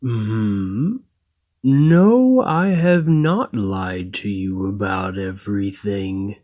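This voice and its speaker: A man playing an escaped golem in an ASMR roleplay, a coldly logical, polite and self-serving captor with formal, measured, detached phrasing. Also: fairly low pitch, expressive, phone-quality sound, exaggerated voice. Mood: detached, curious.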